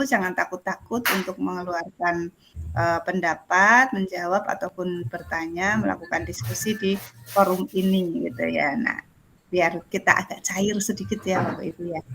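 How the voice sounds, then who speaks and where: A woman's voice: lowish voice, creaky, a bit bright, a little hiss or hum, rough recording. A woman facilitating an online class in a casual, jokey, giggly and self-deprecating way. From Indonesia. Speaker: facilitator of an online teacher training forum. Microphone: video call.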